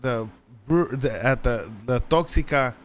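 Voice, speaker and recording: A man's voice: mid-range voice, unhurried, smooth. A man in casual podcast chat, swearing and jumping in with plans. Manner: swearing, eager, interrupts to make plans.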